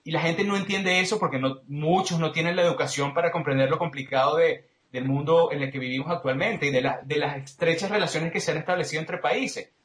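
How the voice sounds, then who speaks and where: Male interview guest, Venezuelan accent, slightly high voice, analytical and explanatory, recorded with marked room echo. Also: fairly quick, hardly any pauses, a bit bright. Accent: Venezuelan Spanish. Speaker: interview guest, political commentator.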